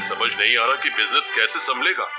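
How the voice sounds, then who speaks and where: An anxious male manager as a narrator acts him out in an audio story, respectful yet reproachful. His voice is mid to low, set over clear music. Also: a little fast, natural voice. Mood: worried, blunt. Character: worried, blunt male office manager, deferential yet reproachful. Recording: music bed under the voice.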